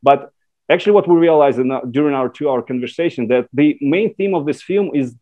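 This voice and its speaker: A man from Russia whose first language is Russian pitches his screenplay in English over a video call, hesitant and full of fillers and restarts. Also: fairly quick, phone-quality sound.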